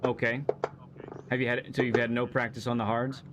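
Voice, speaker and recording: A man hosting a live racing game stream, coaching a guest with calm, encouraging feedback. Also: medium pitch, brisk, background noise. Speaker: host and driving coach.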